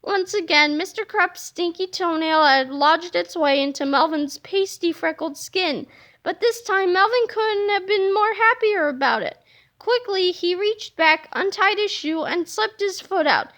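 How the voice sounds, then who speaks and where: A woman reading a children's book aloud as an expressive storyteller. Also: very high voice, unhurried.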